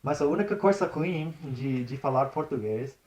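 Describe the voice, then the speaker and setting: A man's voice: medium pitch, expressive, moderate pace, a little mellow, a little hiss or hum, low-quality recording. A young American man whose first language is English, chatting in an interview, hesitant, with many restarts and slips into English. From United States. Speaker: interview guest. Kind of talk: casual interview chat.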